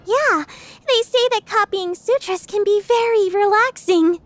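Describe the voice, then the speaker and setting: A woman's voice: extremely high pitch, measured pace. A woman playing a prim, serious teenage girl from a school discipline committee in an anime fandub. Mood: calm, earnest. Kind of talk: anime fandub radio play acting.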